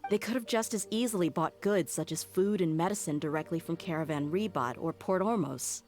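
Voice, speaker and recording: A woman's voice: on the low side, a little fast, darkish tone, faint music behind, natural voice. A woman playing a loyal, frank mercenary in a video game, with a firm and resolute manner. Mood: grim reasoning. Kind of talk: video game character acting.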